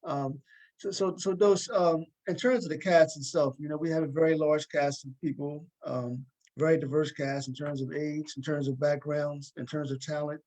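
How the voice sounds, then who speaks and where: A man with a slightly high voice works as a talk show host, putting questions casually and conversationally. He tends to double back on words and reword a question midway. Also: fairly even intonation, a little mellow, rough recording. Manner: halting, repeats words and rephrases questions.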